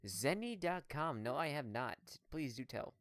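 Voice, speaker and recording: A man co-hosts a podcast in relaxed, casual chat. His voice is bright and clear, recorded dry and close, and his manner is easygoing. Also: mid-range voice, dry recording. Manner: easygoing, quick to laugh. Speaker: podcast co-host.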